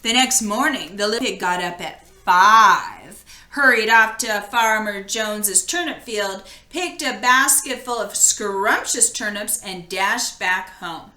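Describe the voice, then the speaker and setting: A woman's voice: medium pitch, takes it slowly, few pauses. A woman reading a children's story aloud expressively, speaking straight to her listeners, in an echoey room. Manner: animated, addresses listeners directly. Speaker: storybook reader.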